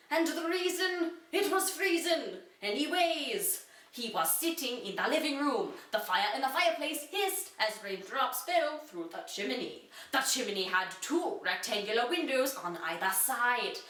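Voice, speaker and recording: Echoey, high-voiced woman reading a story aloud. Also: a bit bright. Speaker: narrator reading own childhood story. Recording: roomy echo, distant microphone.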